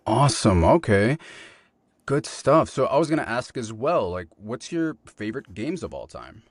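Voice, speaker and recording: A young adult man with a deep voice hosting a podcast interview, upbeat and enthusiastic. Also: sing-song, moderate pace, no room sound. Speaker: podcast host. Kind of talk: casual interview chat.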